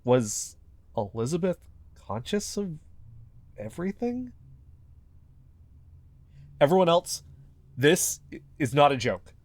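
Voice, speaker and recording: A man playing an anxious, paranoid fugitive podcaster in an audio drama, earnestly talking to himself in halting mutters. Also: fairly high pitch, very animated, no room sound, full character voice. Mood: heartbroken, confused. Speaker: investigative podcast host in an audio fiction. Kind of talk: audio drama found-recording monologue.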